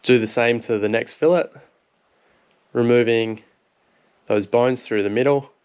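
A man in his thirties with an Australian accent, a fishing vlogger calmly explaining how to prepare fish in a voice-over. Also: medium pitch, unhurried, clear, resonant tone, dull audio. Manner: relaxed, plain explaining. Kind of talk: instructional cooking voice-over.

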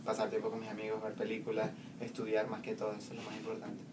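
A man's voice: on the low side, monotone, fast. A young man answering interview questions steadily, filmed in a small classroom from a camera across the room. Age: young adult. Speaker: student interviewee. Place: small classroom with plain walls. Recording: distant mic, clear room noise.

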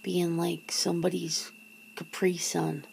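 A woman acts out a wry, guarded lover in an intimate ASMR roleplay. Her voice is mellow and breathy, with a lot of fry, often falling to a whisper. She talks casually and haltingly over noticeable background noise. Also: on the low side, level tone, exaggerated voice, poor audio. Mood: wry, wary. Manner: hesitant, sardonic, casual, sighing. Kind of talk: ASMR roleplay, half-whispered one-sided dialogue.

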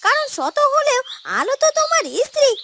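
A piercingly high, sharp-tongued young village wife in a fairytale cartoon. Her lines swing up and down as she needles and scolds, stretching words for emphasis. Clear noise sits behind the voice. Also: very animated, a little fast. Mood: feigned sympathy. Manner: falsely sympathetic, scolding, dragged-out emphatic words. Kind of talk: Bengali fairytale cartoon dubbing.

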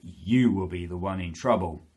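A man's voice: deep voice, moderate pace, darkish tone, natural voice. A man voicing a sly fox who roars menacingly, pretending to be a tiger in a children's story. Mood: menacing bluff. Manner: roaring, menacing.

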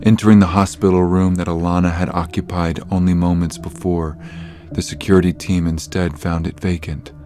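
A man narrating a scripted horror story in a measured, literary manner. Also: deep voice, little pitch movement, dark tone.

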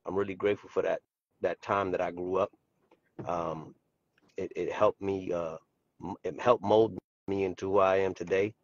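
A man from the South Bronx talking haltingly, with many fillers, as a podcast guest on a video call. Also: sits low, flat delivery, no echo, highs cut off. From South Bronx, New York. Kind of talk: casual podcast talk.

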